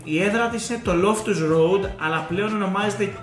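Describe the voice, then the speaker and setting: A man co-hosting a casual football podcast, chatty and reminiscing with joking asides. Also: sits high. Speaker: podcast co-host. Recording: echoey room with faint music.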